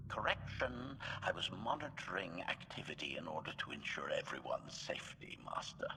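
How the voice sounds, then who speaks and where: An actor voicing a coldly eager, servile assassin droid in a game, with clipped announcements and a robotic filter. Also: male voice, rumbling low, very animated, normal speed, vocal fry, background noise, full character voice. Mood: coldly formal. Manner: clipped, announces each statement type first. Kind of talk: video game cutscene acting.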